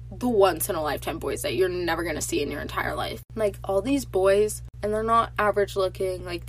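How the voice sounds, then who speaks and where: Teen girl podcaster, stuffy nasal voice, chatty, mid-pitched, faint hum behind. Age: teens. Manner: chatty and animated, lots of filler "like". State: congested from allergies. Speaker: podcast host. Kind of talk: casual solo podcast chat.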